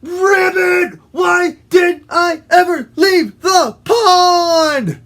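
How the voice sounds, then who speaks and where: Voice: medium pitch, very animated, slow, fairly bright tone, pitched above the performer's own voice, full character voice. A storyteller voicing a naive, eager little storybook frog, earnest and dramatically drawn out. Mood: wailing.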